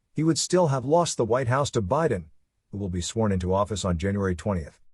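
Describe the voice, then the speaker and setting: A man reading a scripted news report in a formal, measured manner. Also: mid-range voice, darkish tone, slightly rough, no echo, studio quality. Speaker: news reader.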